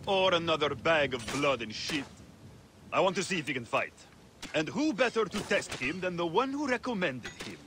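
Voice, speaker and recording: A grumbling, theatrical arena boss in a video game. He mocks and needles with crude relish, in a fairly high voice that swings widely. Loud background noise surrounds him. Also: very animated, normal speed. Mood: sardonic. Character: crude, gruff, sardonic male arena boss.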